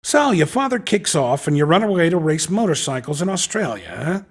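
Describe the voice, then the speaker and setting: A man voicing a slick, pushy underground race recruiter in a video game, with a raspy voice and cocky, insinuating salesman patter. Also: a little high, very animated, fairly quick. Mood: slick, enticing. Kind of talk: video game character dialogue.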